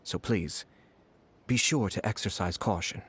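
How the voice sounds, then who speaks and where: Man playing a courteous, low-voiced event official. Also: very noisy, lightly acted. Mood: courteous, composed. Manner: polite, formal, measured. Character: courteous, composed male shrine event official. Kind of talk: anime game dub acting.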